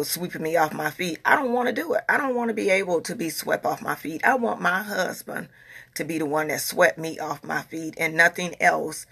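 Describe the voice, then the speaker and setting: A woman's voice: deep voice, creaky, fairly bright tone, breathy edge. A woman in her forties talking casually to her followers in a vlog, earnest and repeating herself as she makes her point. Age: 40s. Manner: earnest, repeats herself, talks in a Southern US dialect. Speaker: vlogger.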